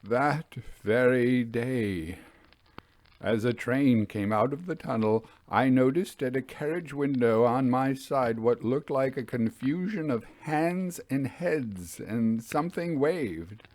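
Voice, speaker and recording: Man acting a slow, haunted railway signalman. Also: medium pitch, animated, a little mellow, no echo. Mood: hushed, uneasy. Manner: slow, halting, grave recounting. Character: haunted, solemn railway signalman telling of a spectre. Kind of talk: dramatic reading of a classic ghost story.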